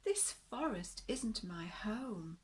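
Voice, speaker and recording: A woman's voice: mid-range voice, sing-song, slow, darkish tone, far from the mic, slight background noise. A woman voicing a lost, lonely male beast from a children's storybook, earnest and plaintive. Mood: sad, lonely.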